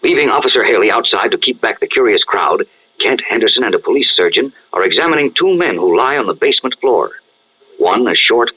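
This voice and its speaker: A man narrating in a dramatic, scripted old-time radio serial style, with a husky voice. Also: lowish voice, lo-fi sound.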